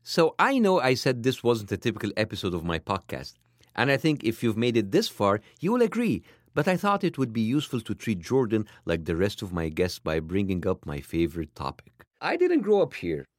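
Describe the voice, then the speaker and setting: Lively accented male podcast host. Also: a little fast, dry recording, clean studio sound. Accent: foreign-accented English. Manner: animated, wry, pitch jumping up for emphasis. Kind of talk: scripted podcast narration.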